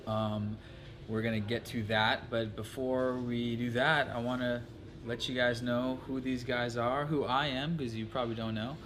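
A man's voice: mid-range voice, flat delivery, normal speed, fairly bright tone. A man hosting a travel vlog, talking in a loose, rambling, chatty way. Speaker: vlogger host. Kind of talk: casual chat to camera. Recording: noisy ambient background.

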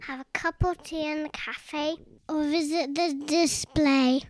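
A woman's voice: high voice, steady tone, unhurried, voice pushed up. A woman voicing an eager, earnest young male museum guide in a children's story. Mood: cheerful.

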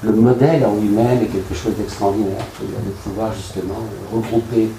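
A man giving welcoming remarks to a class in a halting way, searching for words with many fillers. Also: on the low side, steady tone. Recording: echoey room, faint background noise.

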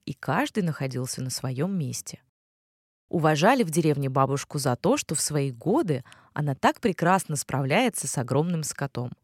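A woman reading a children's fairy tale aloud in a soft, expressive, sing-song rhythm. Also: low voice, husky, no room sound, clean studio sound. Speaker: storyteller.

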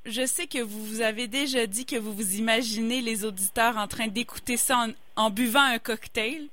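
A woman's voice: a little high, moderate pace, sharp tone. A woman radio host who interviews a guest and sums up her story for listeners. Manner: attentive, summarizing the guest's story.

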